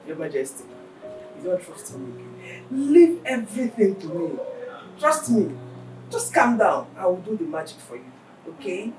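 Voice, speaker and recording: A woman's voice: medium pitch, very animated, unhurried, a little mellow. A woman with a Nigerian accent playing a persuasive middle-aged mother and confidante to a queen. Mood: reassuring. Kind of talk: Nollywood film acting. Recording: echoey room, distant mic, music behind.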